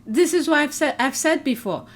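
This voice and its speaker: Brief: woman host, English not her first language, lively commentary, laughs a lot, fairly high voice. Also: normal speed. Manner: animated, laughs often, talks to her audience. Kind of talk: casual commentary reacting to clips.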